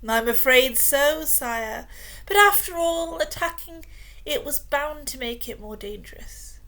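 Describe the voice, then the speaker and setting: A woman's voice: expressive, measured pace, pushed and bright, full character voice. Woman putting on a sly, oily courtier. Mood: fawning. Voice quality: low, oily and confiding. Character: scheming, smooth-talking male royal adviser.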